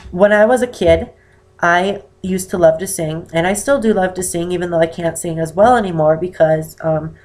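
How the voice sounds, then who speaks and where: An eighteen-year-old man with an unusually high-pitched voice and damaged vocal cords, talking casually to camera and rambling with many ums. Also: a little mellow. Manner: rambling, with many "um"s and self-corrections. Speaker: vlogger. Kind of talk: casual personal talk to camera. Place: indoor room with poor lighting.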